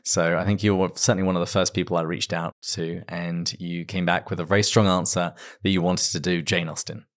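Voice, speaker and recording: A man hosting a podcast, reading a measured introduction and then chatting politely with his guest. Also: deep voice, flat delivery. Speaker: podcast host. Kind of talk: scripted intro, then conversation.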